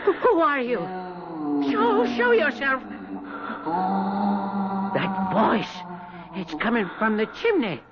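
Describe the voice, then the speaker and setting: An actor in a 1970s radio drama playing a stubborn, excitable colonial man with a folksy, blustering, stammering manner. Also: very high-pitched, takes it slowly, pauses often, loud music behind the voice, full character voice. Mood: frightened bluster. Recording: muffled vintage radio, clear background noise.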